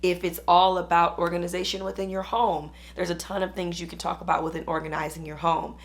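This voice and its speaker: A hoarse woman business coach, chatting upbeat to camera. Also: on the low side, flat delivery, fairly quick. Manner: chatty, upbeat, uses "y'all". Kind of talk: casual talk to camera.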